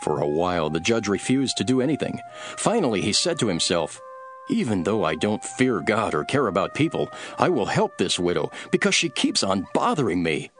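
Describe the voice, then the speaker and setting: A solemn teacher of parables in a scripture audio drama. The voice sits fairly low and moves expressively as he teaches in a measured way. Soft music runs behind him. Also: very animated. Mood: solemn storytelling.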